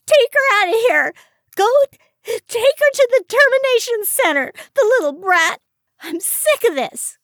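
A woman voicing a furious, dramatic young aunt in an audiobook, shrill, wailing and indignant. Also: animated, measured pace, a bit bright, no echo, clean studio sound, full character voice.

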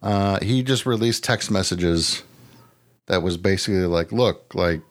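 A man's voice: low-pitched, a little slow. A man chatting casually as a podcast co-host, rambling and slangy with casual swearing. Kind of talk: casual chat.

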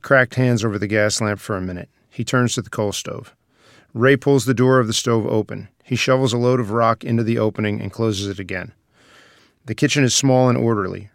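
A man's voice: fairly low pitch, dry recording, studio quality. A man reading scripted stage directions as the narrator of a screenplay table read.

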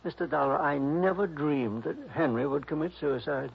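Fluttery, high-voiced, husky old composer. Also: male voice, normal speed. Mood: worried, hesitant. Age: older. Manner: rambling, fluttery, hesitant, chuckling. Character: sweet, dreamy, eccentric older male amateur composer. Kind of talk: 1960s radio drama acting. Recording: dull, lo-fi old radio recording.

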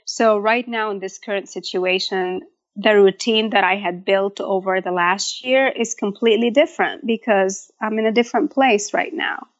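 A woman's voice: medium pitch, moderate pace, lo-fi sound. A woman in her thirties from Egypt, a food blogger talking casually as a guest in an interview.

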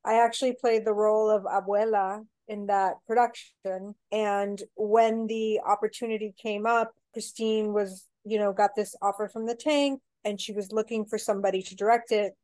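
A woman's voice: mid-range voice, fairly even intonation, normal speed, few pauses, a little mellow, no room sound. A woman from Mexico, a theater director, talking casually in an interview with lots of filler words. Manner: chatty, rambling with many "you know" fillers.

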